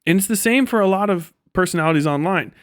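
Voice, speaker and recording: High-pitched American man ranting casually. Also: lively intonation, fast talker, a bit bright, no echo, studio quality. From United States. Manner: profane, blunt, rambling. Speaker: livestream host. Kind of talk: casual ranting livestream commentary.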